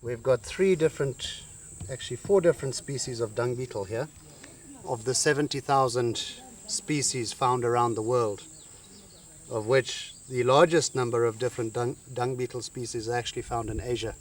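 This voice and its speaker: A man's voice: mid-range voice, moderate pace. A man explaining the wildlife with enthusiasm, as a guide. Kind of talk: informative explanation. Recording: voice from a distance.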